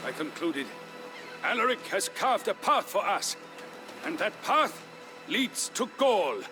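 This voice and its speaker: An actor playing a solemn Visigothic warrior chieftain, telling his people's history as measured, theatrical narration for a strategy game. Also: high voice, sing-song, heavily acted. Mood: resolute. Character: grave Visigothic warrior chieftain, the dead king's brother-in-law, recounting history. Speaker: story narrator. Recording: narration over prominent music.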